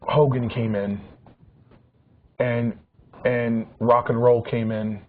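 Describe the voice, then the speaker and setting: A man's voice: medium pitch, level tone, slow pace. A man in his forties reminiscing in a casual talk show chat, rambling with many restarts and repeated words. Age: 40s. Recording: dull lo-fi sound with faint hiss.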